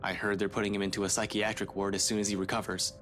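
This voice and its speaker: Male actor as police detective, low voice, grim, blunt, businesslike, visual novel game, faint music. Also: little pitch movement, quick pace, fairly bright tone, close to an everyday voice.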